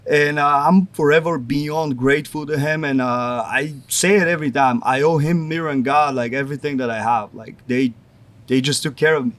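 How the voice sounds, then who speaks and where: Bulgarian guy in his 20s, kinda high voice, says like a lot. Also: fairly even intonation, normal speed, fairly bright tone. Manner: rambling, says "like" often. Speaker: pro wrestler guest. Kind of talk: casual interview.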